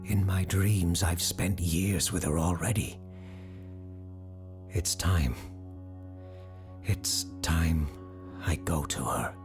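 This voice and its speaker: A woman's voice: a bass voice, slow pace, long pauses. A woman narrator voicing a lovesick, dreamy and insistent young male sailor in a radio ghost story. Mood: entranced. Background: music under the voice.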